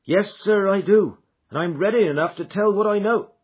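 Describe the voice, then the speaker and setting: A man's voice: high-pitched, normal speed, slightly rough, voice pushed up. A man playing a tall, gaunt female servant who speaks earnestly and plainly, on a dull recording. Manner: earnest, forthright.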